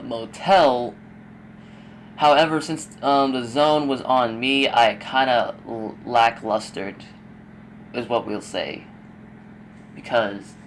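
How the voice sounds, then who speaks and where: A young man with a middling voice that has gone a little hoarse talks through gameplay tips in a loose, rambling way, full of fillers and the occasional curse. A faint hum sits behind him. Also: mid-range voice. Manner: rambling, with frequent fillers and swearing. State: losing his voice, a bit hoarse. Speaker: gaming YouTuber giving tips. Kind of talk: casual gameplay commentary voice-over.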